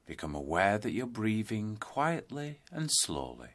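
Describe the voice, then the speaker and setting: A man leading a guided yoga meditation, giving deliberate instructions phrase by phrase with pauses between them. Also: fairly low pitch, big pitch swings.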